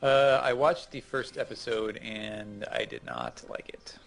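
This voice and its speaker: Low-voiced man in casual gaming chat. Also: big pitch swings, measured pace, gravelly, a bit bright, soft background music. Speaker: co-host of a gaming show. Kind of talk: casual conversation and game advice.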